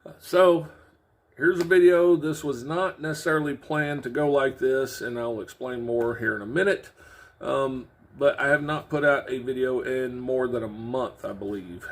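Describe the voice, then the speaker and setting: A man hosting a casual video channel, explaining things to his viewers in a halting, informal way. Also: mid-range voice. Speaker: host of a CB radio and trucking video channel. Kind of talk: casual vlog update to viewers.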